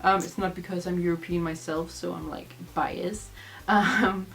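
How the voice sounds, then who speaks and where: A woman's voice: lowish voice, steady tone, measured pace, gravelly. A young woman from Denmark, a native Danish speaker, chatting casually through vlog tag questions in a rambling way with many fillers. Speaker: vlogger.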